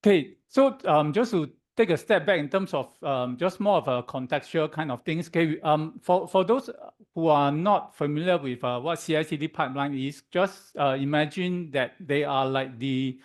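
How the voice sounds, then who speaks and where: Hoarse guy doing a meetup talk, slightly high voice, lots of um and okay?. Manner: halting, many "um"s, keeps checking in with "okay?". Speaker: guest speaker at a tech meetup. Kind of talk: conference presentation. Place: office meetup room.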